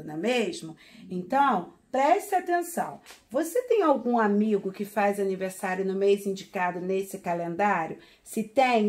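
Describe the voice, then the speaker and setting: A woman in her forties teaching young children from a classroom, counting slowly in a sing-song way and repeating each number. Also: medium pitch. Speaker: primary school teacher. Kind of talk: remote lesson for young children. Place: classroom with wall charts, indoors.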